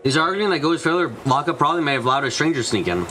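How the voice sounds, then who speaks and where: A man's voice: fairly high pitch, expressive, racing, bright, forceful tone, faint music behind. A man narrating a mystery visual novel from a script as a fan dubber.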